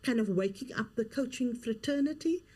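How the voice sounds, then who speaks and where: Female guest, middle-aged, slightly high register, earnest and emphatic. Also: moderate pace, a little mellow. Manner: earnest, emphatic, repeats key phrases. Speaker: guest, leadership coach and author. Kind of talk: interview conversation.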